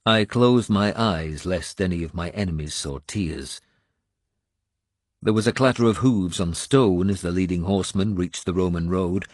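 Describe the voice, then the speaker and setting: Low-pitched, unhurried male audiobook narrator. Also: darkish tone, dry recording, clean studio sound. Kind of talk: scripted first-person fiction narration.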